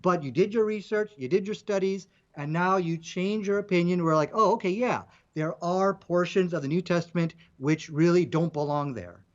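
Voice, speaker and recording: High-voiced man debating, dull lo-fi sound. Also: moderate pace, hardly any pauses. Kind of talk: debate argument.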